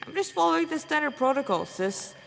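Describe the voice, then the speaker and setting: A woman's voice: high-pitched, expressive, fairly quick, creaky, pitched above the performer's own voice. A woman voicing a cheeky, restless young male cadet in a story, with a slangy, teasing manner. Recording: echoey room with long reverb.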